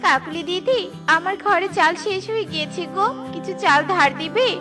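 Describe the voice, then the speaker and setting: Woman voicing a very high, humble mother bird. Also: normal speed. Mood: polite, pleading. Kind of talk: children's cartoon voice acting. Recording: music behind the voice.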